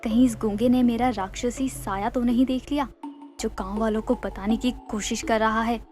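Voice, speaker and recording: A woman plays a composed village doctor with a sinister secret in a cartoon moral tale. Her voice is a little high, with music underneath. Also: fairly even intonation, moderate pace, lightly acted. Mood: suspicious. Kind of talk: animated Hindi story dialogue.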